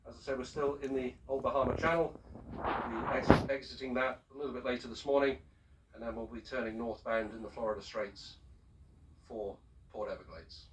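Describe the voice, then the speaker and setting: A man speaking as a ship's captain, giving a formal, measured and courteous announcement over a public address system. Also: mid-range voice, fairly even intonation, noisy background. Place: aboard a cruise ship, heard over the public address system. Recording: distant shipboard PA speaker, picked up from afar.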